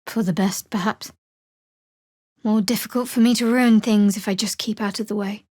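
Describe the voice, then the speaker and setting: A woman playing a guarded, wry young female cleric of a dark goddess in a video game, speaking in a dry, sardonic, measured way. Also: medium pitch, steady tone, no echo, natural voice. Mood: subdued, confiding. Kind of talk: video game character dialogue.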